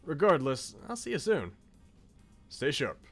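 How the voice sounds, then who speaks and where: A man voicing a composed, cautious, bookish young man in a visual novel, polite and measured. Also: on the high side, very animated, fairly bright tone, faint music behind, heavily acted. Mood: calm cautious.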